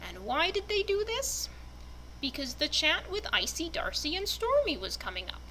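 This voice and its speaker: A woman with a high, bright voice narrates as an enthusiastic fan. Her delivery is very animated and expressive, like someone reading aloud for fellow fans. A faint noise sits behind her. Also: moderate pace, low-quality recording. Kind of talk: dramatic reading and casual fan commentary.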